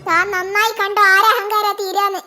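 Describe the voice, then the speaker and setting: Woman voicing a squeaky, feisty captive wife. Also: little pitch movement, fairly quick, over music. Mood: defiant. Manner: bold, taunting. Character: defiant, feisty kidnapped young wife. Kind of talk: amateur cartoon dub in Malayalam.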